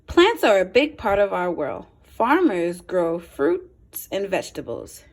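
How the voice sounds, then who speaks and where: Female primary teacher for a picture book read-aloud: slow, deliberate, a little husky, explaining simply to little kids. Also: fairly low pitch. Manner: simple explanatory asides to young listeners; expressive, deliberate phrasing for children. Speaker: teacher reading aloud to young children.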